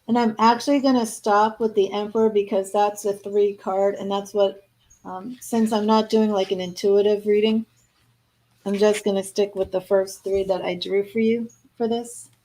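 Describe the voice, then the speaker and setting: A hoarse woman losing her voice, giving a live tarot reading and reading card meanings aloud between casual asides. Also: medium pitch, little pitch movement, normal speed, warm tone, rough recording. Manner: reads card meanings aloud, then chats and restarts. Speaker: tarot reader.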